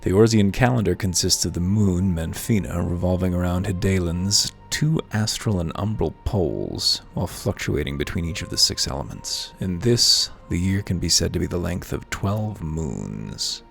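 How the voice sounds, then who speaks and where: A man's voice: deep voice, expressive, moderate pace, vocal fry, few pauses, soft background music. A man hosting a let's-play, reading game lore aloud and breaking off for dry, wry asides.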